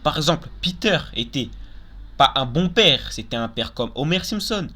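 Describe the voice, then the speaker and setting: A young man with a slightly high, bright and forceful voice hosts a countdown. He speaks casually and bluntly, circling back to qualify his opinions. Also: expressive, normal speed. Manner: informal, opinionated, repeats and qualifies himself. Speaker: video host.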